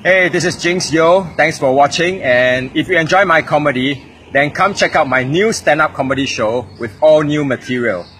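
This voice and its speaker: A man's voice: on the high side, moderate pace, background noise. Upbeat man promoting outdoors. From Singapore. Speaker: comedian. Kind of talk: promo to camera. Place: outdoor courtyard by apartment blocks.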